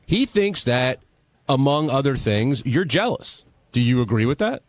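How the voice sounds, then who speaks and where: A man's voice: medium pitch, very animated, unhurried, no room sound. A man on a radio panel in a casual debate, pressing his points in an insistent, challenging way. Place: dry radio studio.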